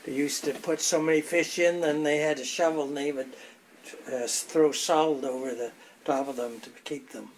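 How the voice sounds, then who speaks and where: Older man, fairly high voice, casual recollections, from Canada, some background noise. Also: steady tone, normal speed. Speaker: local resident answering questions. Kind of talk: casual reminiscing. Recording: clear background room noise.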